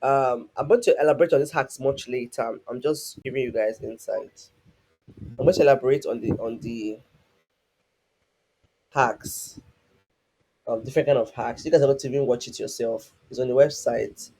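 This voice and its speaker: A man with a husky voice, teaching a live online class in a rambling way, full of restarts and fillers. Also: mid-range voice, fairly even intonation, normal speed, pauses often, warm tone, rough recording. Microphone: online call.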